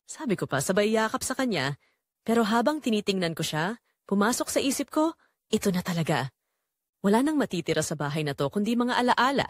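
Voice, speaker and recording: A woman's voice: medium pitch, sing-song, brisk, dry recording, clean studio sound. A woman playing a lonely middle-aged widowed mother who tells her secretly yearning story in an audio drama. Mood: bittersweet. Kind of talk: first-person dramatic audio story narration.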